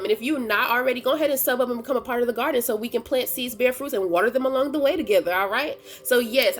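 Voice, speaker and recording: A woman's voice: fairly high pitch, a bit bright. A woman with a stuffy nose from a cold, chatting casually in as she gives a tarot reading, slangy and laughing often. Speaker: tarot reader and channel host.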